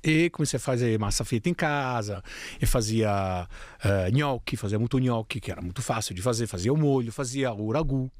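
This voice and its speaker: A man in his fifties with an Italian accent tells long stories in an interview. His pitch swings up and down with lots of life, and he acts out the voices he quotes. The sound is close and dry, picked up on a desk mic in a small studio room. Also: brisk, no echo. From Italy. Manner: animated, gestures widely, acts out quoted voices. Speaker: guest. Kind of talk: storytelling interview. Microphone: desk microphone on stand.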